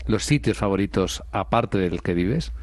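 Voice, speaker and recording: A man's voice: lowish voice, expressive, unhurried, dry recording. A man hosting a radio interview in a studio, asking probing questions and pressing his point. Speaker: radio host.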